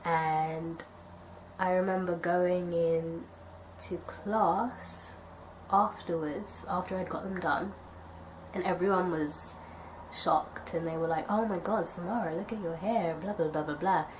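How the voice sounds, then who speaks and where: A young woman from the UK chatting casually to camera in a tag video, her nose stuffy from a cold and her voice a little raspy. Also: on the low side, steady tone, measured pace, a little hiss or hum, poor audio. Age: young adult. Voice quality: slightly raspy. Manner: chatty, thoughtful, rambling with fillers. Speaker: vlogger.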